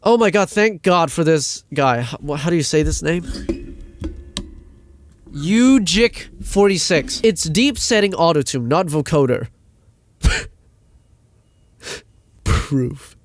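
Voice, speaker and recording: A man's voice: on the high side, lively intonation, slow, pauses often, a little hiss or hum. A man giving a casual ranting commentary as a video host, exasperated, emphatic and sweary, often repeating himself for stress.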